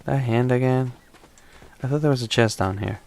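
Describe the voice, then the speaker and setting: A man with a slight accent giving relaxed, rambling commentary while streaming a game live, often restarting his sentences. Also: mid-range voice, normal speed, gravelly, slight background noise. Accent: non-native English accent. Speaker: tech streamer.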